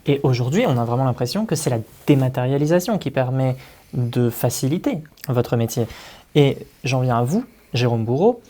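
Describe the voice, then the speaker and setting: A man's voice: mid-range voice, expressive, moderate pace, warm tone. A man hosting a panel interview, introducing guests and putting questions to them. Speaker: interviewer, host.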